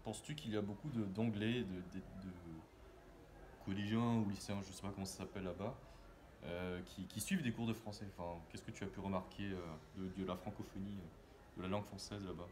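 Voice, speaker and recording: A man hosting a casual interview with a friendly, halting way of asking questions. He often restarts his sentences. His voice is on the low side, with faint noise behind it. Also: fairly even intonation, darkish tone. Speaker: interviewer, host.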